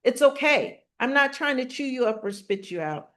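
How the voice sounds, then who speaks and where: A middle-aged woman speaking with a strong, forceful voice, teaching about spirituality and astrology in a passionate conversational way with many rhetorical questions. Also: high voice, animated, moderate pace. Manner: rhetorical questions and repeated phrases.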